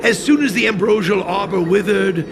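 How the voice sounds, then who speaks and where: An actor playing a stern, earnest master artisan in a video game cutscene, speaking in a measured, formal, solemn way. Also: male voice, sits high. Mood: grave, explanatory. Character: stern, earnest master artisan who tends an ancient furnace. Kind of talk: scripted game cutscene dialogue, expository storytelling. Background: prominent music.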